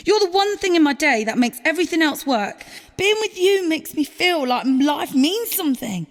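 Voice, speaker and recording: A woman's voice: high voice, lively intonation, moderate pace. A woman playing a wounded, pleading and defensive girlfriend clinging to her relationship in an audio drama. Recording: long reverberant hall sound.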